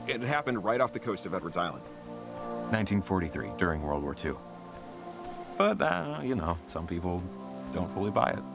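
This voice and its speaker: Chatty, gravelly, low-voiced young man. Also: normal speed, pauses often, music in the background, heavily acted. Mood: friendly, chatty. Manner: rambling, hesitant, casual and animated. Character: chatty, excitable young man, goofy local believer in ghost stories. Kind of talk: naturalistic video game dialogue.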